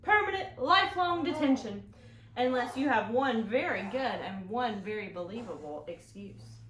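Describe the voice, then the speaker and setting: A woman's voice: fairly high pitch, animated, normal speed, fairly bright tone. A woman voicing a stern, exasperated female schoolteacher from a storybook, with emphatic scolding. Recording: echoey room, clear background noise.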